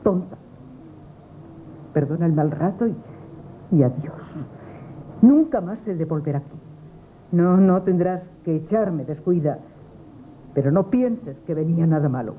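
An actress playing a proud, devoted, spurned sweetheart, earnest and pleading, in a Spanish radio play. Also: female voice, fairly low pitch, expressive, measured pace, long pauses. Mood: wounded, dignified. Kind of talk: Spanish radio theatre acting, 1970s. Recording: thin, tinny, noisy old radio broadcast.

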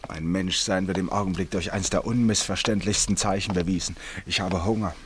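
An eccentric old ex-judge in a radio play, with a low voice and precise, self-amused, grandiloquent delivery. The genial chairman speaks as if making a formal address, over audible hiss. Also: steady tone, fairly quick, fairly bright tone. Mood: amused, impatient. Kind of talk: German radio play acting, formal speechmaking.

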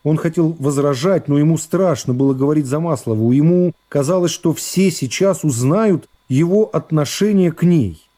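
A man's voice: a little high, moderate pace, few pauses, warm tone. A man narrating classic literary prose for radio, giving it a scripted, dramatic reading.

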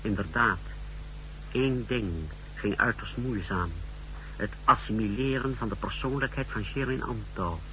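Clinical male intelligence technician, very bright, incisive voice, precise delivery, old hissy radio drama. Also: lowish voice, a little slow. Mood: clinical, formal. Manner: formal, precise, explanatory. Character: clinical secret service technician briefing his superior. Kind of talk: 1960s radio drama acting. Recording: noisy, humming 1960s radio recording.

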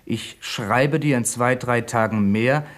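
A man's voice: mid-range voice, slow pace, close to an everyday voice. An actor playing a dutiful police assistant reporting to his chief in a 1970s radio play. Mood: reading aloud. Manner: eager, deferential. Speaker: police officer.

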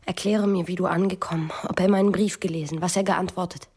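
An actress in a literary German radio drama playing an anguished young woman, wary and desperate, with a breathy voice. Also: fairly low pitch, steady tone, fast, a little mellow. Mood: anxious, resolute.

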